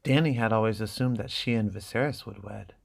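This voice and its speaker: A man narrating a graphic novel as a dramatic reading, in a hushed, deliberate storytelling manner. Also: fairly low pitch, creaky.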